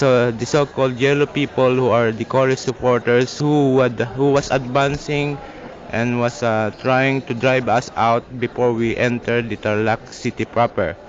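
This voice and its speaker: A man with a Filipino accent answering an interview in a halting, formal and wordy manner. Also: mid-range voice. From Philippines. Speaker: political march participant being interviewed. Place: outdoors at a march rest stop. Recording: muffled archive field recording.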